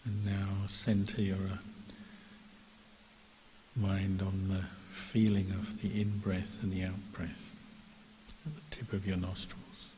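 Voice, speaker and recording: A man teaching guided meditation as a Buddhist monk, calm and measured, with long pauses between phrases. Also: sits low. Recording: echoey hall reverb with clear hiss.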